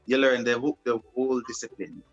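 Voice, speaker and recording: A young man talks through his answers in a relaxed way. He circles back on his words and restarts his phrases. Faint music plays under his voice. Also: medium pitch, fast talker, lo-fi sound. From Jamaica. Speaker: broadcast journalist guest. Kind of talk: casual interview answers.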